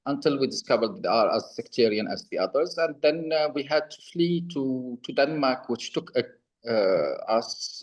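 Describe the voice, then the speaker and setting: A middle-aged Lebanese man, Arabic his first language, talking on a video call in a halting, wry way with many fillers and restarts. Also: medium pitch, muffled recording. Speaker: presenter.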